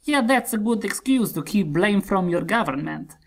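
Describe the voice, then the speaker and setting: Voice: medium pitch, expressive, moderate pace, gravelly. An actor as a scornful, sardonic autocrat. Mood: shrewd, persuasive. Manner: declamatory, sardonic. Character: scornful, cynical Russian autocrat, commanding and shrewd. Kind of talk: satirical rhymed dialogue.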